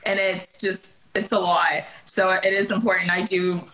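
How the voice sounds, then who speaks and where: A woman's voice: lowish voice, little pitch movement, moderate pace, sharp tone, a little hiss or hum, rough recording. A young woman from Nigeria, talking earnestly and reflectively in a casual video-call interview, with many "like" and "you know" fillers. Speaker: interviewer, network rep. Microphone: laptop camera on video call.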